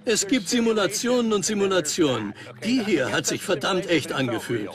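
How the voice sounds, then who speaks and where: A man's voice: sits high, expressive, normal speed, over music. A man talking conversationally as a participant in a dubbed documentary.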